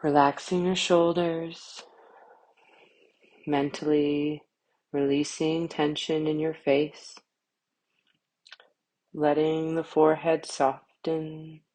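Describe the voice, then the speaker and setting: Woman doing guided yoga nidra, really slow and low voice, calm and soothing, short phrases with gaps. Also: rough recording. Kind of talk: guided relaxation meditation.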